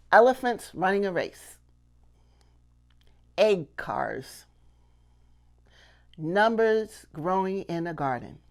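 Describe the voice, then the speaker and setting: A middle-aged woman with a fairly low voice speaks to camera as a teacher. She explains step by step in an emphatic way, repeating key phrases so they stick. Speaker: puppetry teacher and video host. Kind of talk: instructional talk to camera.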